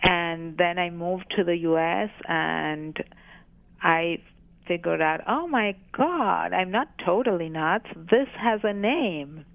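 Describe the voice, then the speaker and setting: A middle-aged woman from Argentina answering interview questions as an expert guest in a halting, thoughtful, self-correcting way, with narrow phone-like radio sound. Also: on the low side, lively intonation, creaky, no room sound. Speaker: guest, psychologist treating eating disorders.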